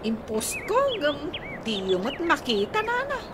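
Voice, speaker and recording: Actress doing a eager fangirl type, really high, defensive, radio comedy w noise behind. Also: wildly swooping pitch, measured pace, exaggerated voice. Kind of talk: comedy radio drama acting. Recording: radio drama with clear background noise.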